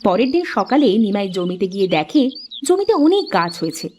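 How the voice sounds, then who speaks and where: A woman's voice: mid-range voice, very animated, moderate pace, a little mellow. A woman reading scripted narration for a children's storybook cartoon.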